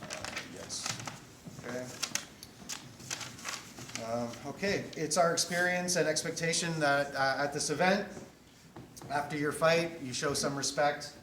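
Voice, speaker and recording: A man's voice: fairly high pitch, a little slow, pauses often. A man in his forties giving an informal rules briefing to a group as an event organizer, easygoing and often checking in with short tag questions. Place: large echoey indoor training hall with mats. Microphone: distant camera microphone. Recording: echoey room, distant mic, loud background noise.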